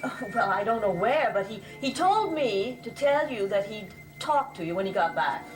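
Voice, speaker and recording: A woman's voice: medium pitch, animated, normal speed, over music, close to an everyday voice, rough recording. An actress playing an anxious, genteel middle-aged mother, polite and a little hesitant, in gothic soap opera style. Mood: flustered politeness.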